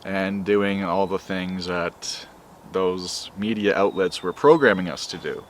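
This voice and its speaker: A young man narrating a commentary video in a measured, lecturing way. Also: low voice, a little hiss or hum.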